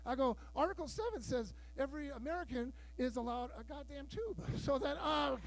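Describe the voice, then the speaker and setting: Brief: fifties male stand-up, very high pitch, brash and crude storyteller, plays all the dialogue himself. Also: animated, a little fast, poor audio. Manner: loud, bawdy storytelling with acted-out dialogue. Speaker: stand-up comedian. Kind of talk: stand-up comedy set. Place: live show room with audience.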